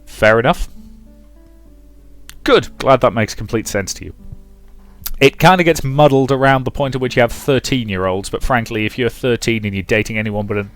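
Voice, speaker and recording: A man's voice: mid-range voice, normal speed, soft background music. A British man in his twenties, a let's play host reading a game aloud and commenting in a wry, rambling way. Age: 20s. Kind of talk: casual commentary while reading a visual novel aloud.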